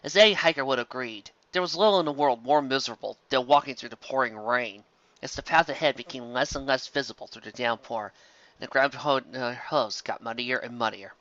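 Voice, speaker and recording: A man reading a story aloud as an expressive amateur narrator, recorded in dull audio. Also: mid-range voice, a little fast, no echo.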